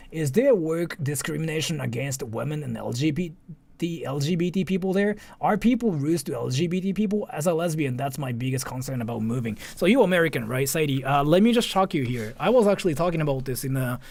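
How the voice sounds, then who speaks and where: A man from Japan chatting casually on a livestream in an animated, rambling, repetitive way, blunt and sweary. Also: on the high side, vocal fry. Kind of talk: casual livestream reaction chat.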